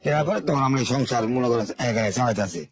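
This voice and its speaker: An older man from Bangladesh, a guest telling his life story in a rambling, halting, repetitive way. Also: mid-range voice, a loud crowd. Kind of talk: informal spoken life story.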